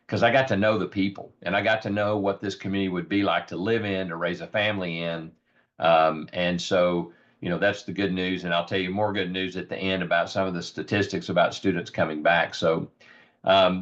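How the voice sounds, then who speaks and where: A man with a raspy, slightly low voice talks through a rural health program with earnest, unpolished energy. He leans on ums and repeats words as he goes. The audio is muffled and lo-fi. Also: a bit bright. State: raspy, hoarse voice. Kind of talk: informal virtual presentation. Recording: dull lo-fi audio.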